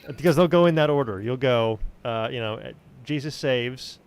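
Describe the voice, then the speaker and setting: A man's voice: mid-range voice, moderate pace, smooth. A man hosting a casual, conversational podcast chat.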